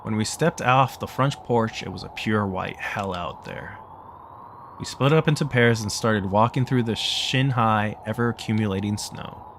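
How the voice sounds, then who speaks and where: Lower-voiced man narrating a visual novel. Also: normal speed, fairly bright tone, slight background noise. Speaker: game player reading the text aloud. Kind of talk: narrating a visual novel aloud during play.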